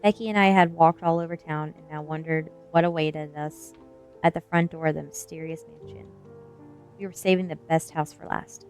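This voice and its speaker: Low-voiced woman reading a story, soft music. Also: fairly even intonation, moderate pace. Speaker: streamer reading a novel aloud. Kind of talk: ASMR storybook narration, first person.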